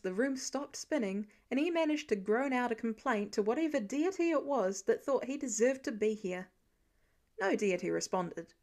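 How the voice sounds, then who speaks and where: A woman narrating scripted fiction as an expressive audiobook-style reader. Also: medium pitch.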